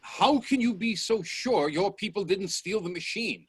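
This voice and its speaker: Casting: male actor as obsessive Edwardian inventor, high-pitched husky voice, urgent and demanding, radio drama. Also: expressive, a little slow, no room sound, heavily acted. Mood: suspicious, demanding. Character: driven, hot-tempered male Edwardian inventor, stubborn and obsessive. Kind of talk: radio play acting.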